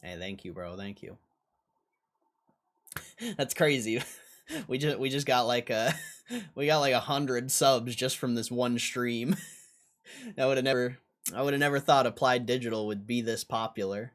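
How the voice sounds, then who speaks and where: Man, mid-pitched voice, livestream host, casual slangy chat, repeats phrases, talks to viewers. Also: measured pace, long pauses.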